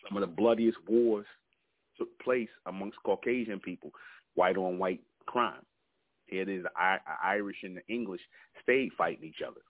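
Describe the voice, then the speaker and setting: Low-voiced man lecturing over a phone line. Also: moderate pace, no room sound. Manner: preachy, repetitive, emphatic lecturing. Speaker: radio show host. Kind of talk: talk radio monologue. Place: internet radio call-in show. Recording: tinny phone-line call-in audio, dry.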